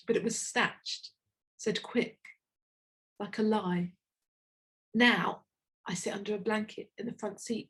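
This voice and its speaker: A middle-aged woman from England performs her own spoken-word poem in a slow, intimate, reflective way. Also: medium pitch. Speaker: poet. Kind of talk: spoken word poetry reading.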